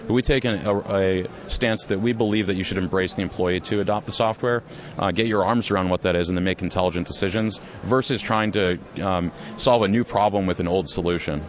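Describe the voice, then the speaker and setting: Low-pitched, fast-talking male founder, measured and explanatory. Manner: measured, explanatory, with frequent restarts. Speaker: company co-founder and CEO, interview guest. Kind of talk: conversational business interview. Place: trade expo floor.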